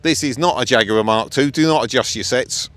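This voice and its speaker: A man's voice: mid-range voice, brisk, fairly bright tone, slight background noise. A man with a British accent chatting casually and enthusiastically with car owners outdoors, restarting his words often. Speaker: vlogger walking a car show.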